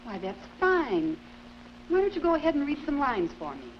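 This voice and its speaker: A humming, noisy 1950s soundtrack holds an encouraging American drama director at a school. Her voice is fairly high and moves around a lot in pitch. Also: huge pitch swings, normal speed. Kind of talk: 1950s educational film acting.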